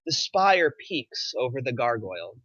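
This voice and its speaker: Male narrator, crisp bright tone, middle pitch, screenplay reading, dry slightly lo-fi recording. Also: sing-song, measured pace, no room sound. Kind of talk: reading screenplay action lines aloud.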